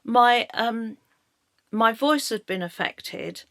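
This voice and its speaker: A middle-aged British woman with a slightly croaky voice, telling her own story in a halting way as she searches for words. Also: a little high, animated, fairly bright tone, no echo, clean studio sound. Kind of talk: personal storytelling monologue.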